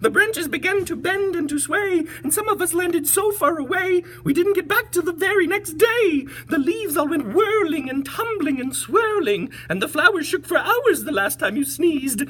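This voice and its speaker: A woman's voice: expressive, normal speed, few pauses, fairly bright tone. A woman voicing frantic, pleading monkeys in a singsong rhyming storybook. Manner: shrill, pleading, singsong rhyme. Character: frantic high-pitched monkeys pleading from the trees. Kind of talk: animated picture-book read-aloud.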